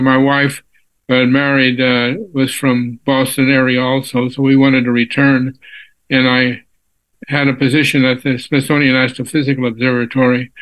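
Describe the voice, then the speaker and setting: A ninety-year-old man from Texas answers questions as an interview guest. He talks in an unhurried, halting way, full of pauses and restarts. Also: mid-range voice, a bit bright, poor audio. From Texas, USA. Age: 90s. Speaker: physicist guest. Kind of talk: casual interview.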